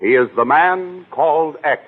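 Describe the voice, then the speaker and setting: Dramatic 1940s American radio announcer, booming reverb. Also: male voice, measured pace. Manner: dramatic, declamatory. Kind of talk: 1940s radio scripted narration. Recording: old narrow-band 1940s radio, reverberant, music.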